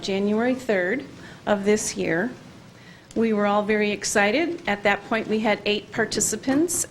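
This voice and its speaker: A mother addresses a council meeting at a middle pitch, with a faint hum in the room. She pauses often and fills the gaps with filler words while describing a community program. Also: poor audio. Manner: hesitant, many fillers. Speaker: parent and program organizer. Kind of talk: public presentation at council meeting.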